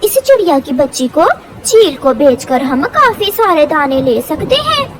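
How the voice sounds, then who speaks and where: A woman's voice: sits high, big pitch swings, measured pace. A woman voicing a greedy, scheming male crow in a cartoon with a sly manner.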